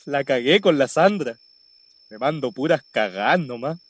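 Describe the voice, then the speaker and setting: A man's voice: medium pitch, animated, normal speed, husky, dry recording. An actor playing a despondent, stubborn young man with a slangy Chilean accent in a radio drama. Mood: dejected. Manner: colloquial, slangy. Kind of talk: naturalistic radio drama acting.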